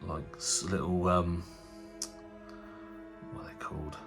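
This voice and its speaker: A man with a cold talks his way through an unboxing in a low, nasal voice. His chat is loose and rambling, full of fillers and restarts. Faint music plays underneath. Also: level tone, slow pace. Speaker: tabletop wargaming channel host. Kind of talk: casual unboxing commentary.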